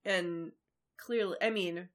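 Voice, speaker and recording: A woman's voice: fairly low pitch, fairly even intonation, takes it slowly. A woman co-hosting a podcast, chatting casually and making wry, joking asides. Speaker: podcast co-host.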